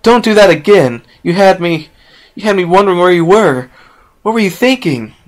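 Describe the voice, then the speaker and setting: A man voicing a brash, tomboyish young female pegasus filly, loud and high and strained when upset. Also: very animated, moderate pace. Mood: scolding.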